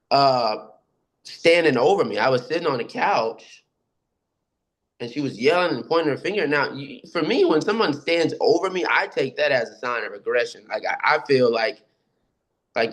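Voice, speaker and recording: A man shares personal experiences in a casual discussion. His voice is deep, and he tells things haltingly, often repeating a phrase before he moves on. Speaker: participant sharing personal experiences.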